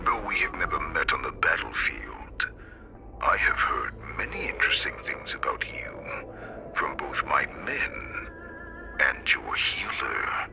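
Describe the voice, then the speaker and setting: Growly wolf beast warlord, super low, drawls and taunts, swoops all over. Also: male voice, big pitch swings, heavily acted. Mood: taunting. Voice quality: deep gravelly voice, slow and taunting. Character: menacing wolfish beast-man warlord, mocking. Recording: music behind.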